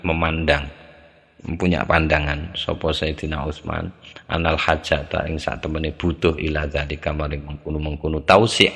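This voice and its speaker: Very deep gravelly man teaching a text. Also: fairly bright tone, big-room sound. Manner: recites Arabic phrases, glosses them in Javanese, then explains in Indonesian. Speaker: teacher of a classical text study. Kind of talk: reading and translating a classical Arabic text word by word, then explaining.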